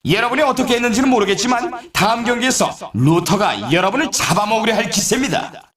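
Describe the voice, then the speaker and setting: A man voicing an excitable snowboard race announcer in a video game, with polished, showy, emphatic commentary. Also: high-pitched, a little fast, a crowd in the background. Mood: teasing, warning.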